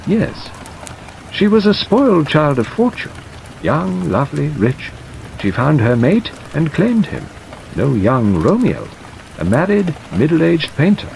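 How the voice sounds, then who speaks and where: A man playing a worldly, sentimental elderly male lawyer in an audiobook, declaiming melodramatically with sighs and pauses. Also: a little high, vocal fry, voice pushed up, exaggerated voice, low-quality recording. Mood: melodramatic, wistful. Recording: steady rain noise over narration.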